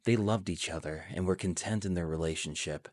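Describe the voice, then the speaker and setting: A man's voice: low voice, a little fast, no room sound. A man reading scripted fan fiction as the narrator, telling the story in prose.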